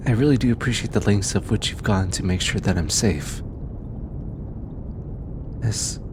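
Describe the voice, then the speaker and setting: A man voicing a gentle, teasing alien researcher in an intimate ASMR roleplay, hesitant and affectionate. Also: fairly low pitch, noisy background. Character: gentle, teasing, grateful male alien researcher aboard spacecraft.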